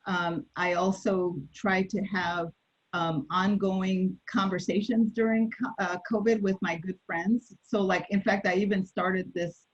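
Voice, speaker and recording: A woman's voice: medium pitch, level tone, a little slow. A woman panel guest talking reflectively about her daily routines, hesitant with many fillers and restarts. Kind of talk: casual personal sharing on a panel. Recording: dull lo-fi sound.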